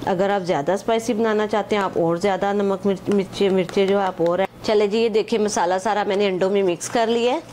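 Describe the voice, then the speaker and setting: A woman's voice: medium pitch, fairly even intonation, quick pace, hardly any pauses, warm tone, a little hiss or hum. Chatty woman, home cooking voice-over. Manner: chatty, repeats "acha ji" and "ye dekhen", mixes English measures into Hindi-Urdu. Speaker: home cooking channel host. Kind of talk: casual step-by-step cooking instruction.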